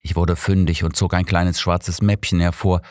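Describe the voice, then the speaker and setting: Deep-voiced audiobook man. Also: flat delivery, normal speed, a little mellow, no room sound, studio quality. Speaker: audiobook narrator. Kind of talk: scripted first-person mystery narration.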